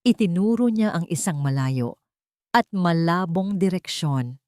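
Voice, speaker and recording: A woman narrates a scripted first-person dramatic story in a measured, solemn, literary manner. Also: on the low side, lively intonation, a little mellow, no room sound, studio quality.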